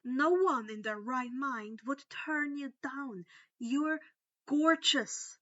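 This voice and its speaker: Woman doing a frank teen girl in an audiobook, high and bright, reassuring but bossy. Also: takes it slowly, no echo, lo-fi sound, full character voice. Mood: exasperated praise. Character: supportive, bossy teenage schoolgirl friend, frank and assured.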